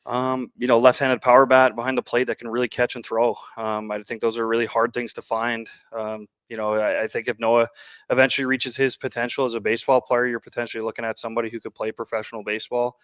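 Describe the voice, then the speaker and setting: A man with a Canadian accent giving conversational interview answers as a baseball coach, pausing often as he talks. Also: medium pitch, level tone, a bit bright, clean tone, no room sound.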